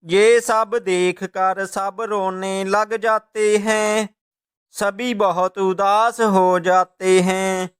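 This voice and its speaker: A man's voice: high-pitched, level tone, drawn-out words, a bit bright, clear, resonant tone. A man narrating a scripted children's moral story as its storyteller.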